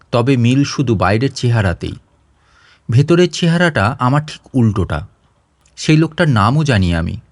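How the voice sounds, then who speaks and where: Man, scripted story narration, medium pitch, dry recording. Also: no room sound. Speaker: audio story narrator and channel host.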